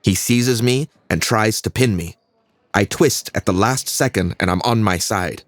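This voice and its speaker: An actor playing an earnest young fighter narrating his own story in a measured way, with a Singaporean accent. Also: lowish voice, no echo, close to an everyday voice. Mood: tense, focused. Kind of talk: scripted first-person audio drama narration.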